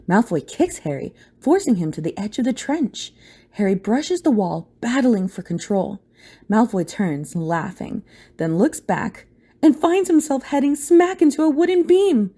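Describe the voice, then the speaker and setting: A woman's voice: mid-range voice, mellow, slight background noise. A woman narrator reading a scripted story dramatically for an audio play.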